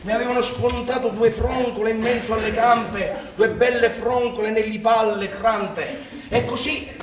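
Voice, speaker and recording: A man's voice: very high-pitched, fairly even intonation, measured pace, recorded from a distance, crowd noise. An actor playing a naive, boastful young Sicilian farmhand, telling his life in earthy dialect as a theatrical stage monologue. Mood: wry, rueful. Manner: earthy, colloquial, ungrammatical dialect storytelling. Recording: echoey theatre stage from afar, music behind.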